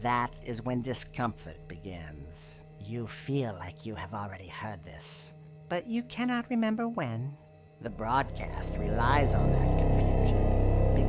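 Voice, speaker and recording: A woman with a very deep voice narrates scripted horror over prominent music. She speaks slowly in short, ominous phrases. Her pitch moves widely from one deliberate phrase to the next. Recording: music behind the voice. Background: loud music.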